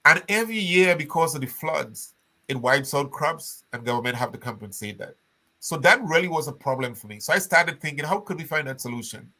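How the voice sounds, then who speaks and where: An older man from Trinidad, telling his story as an interview guest with animated restarts. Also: a little high, a little fast, rough recording.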